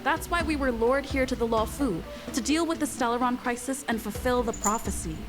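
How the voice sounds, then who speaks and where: Young female traveller, woman actor in a story game: deliberate, articulate, somewhat high voice. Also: close to an everyday voice. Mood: explaining. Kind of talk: game voice acting. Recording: loud game music behind.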